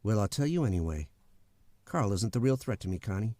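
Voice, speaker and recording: A man's voice: fairly low pitch, animated, brisk, dark tone, dry recording, natural voice. A man playing a blunt, easygoing, footloose suitor with a casual, candid manner in a radio drama. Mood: frank, earnest. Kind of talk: old-time radio drama acting.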